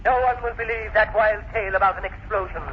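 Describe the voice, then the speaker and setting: An actor playing a vengeful, gloating mad professor with a sneering, theatrical manner in an old radio drama. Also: male voice, high-pitched, fairly even intonation, quick pace, close to an everyday voice. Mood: defiant. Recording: muffled, noisy old radio broadcast recording.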